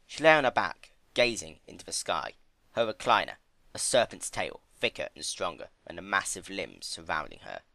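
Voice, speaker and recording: A man's voice: low voice, sing-song, moderate pace, creaky, pushed and bright, no echo. A man narrating a story as a dramatic reading. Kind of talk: dramatic reading of fan fiction.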